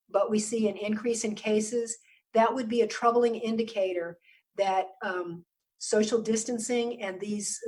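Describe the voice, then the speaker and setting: A woman public health official giving a measured briefing over a video call, hoarse and losing her voice, often stopping to clear her throat. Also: medium pitch, far from the mic, low-quality recording. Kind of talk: briefing presentation on a virtual meeting.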